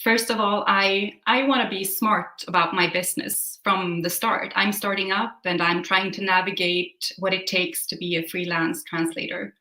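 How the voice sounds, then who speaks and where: A young woman from Sweden, a Swedish native speaker, talking hesitantly with many pauses and fillers as a guest on a video call. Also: medium pitch, steady tone, bright, forceful tone, reverberant, rough recording. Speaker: guest, new translator.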